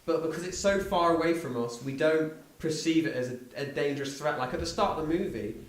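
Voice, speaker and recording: A man's voice: a little high, fairly quick. A man speaking as a podcast host in a casual film discussion. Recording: roomy echo, distant from mic.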